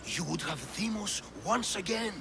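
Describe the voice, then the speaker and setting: A man's voice: sits high, moderate pace, creaky, noisy background. A man playing a manipulative Athenian demagogue villain in a game, wheedling and theatrical as he bargains. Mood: persuasive. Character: smug, manipulative Athenian demagogue villain begging for his life. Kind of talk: dramatic video game dialogue.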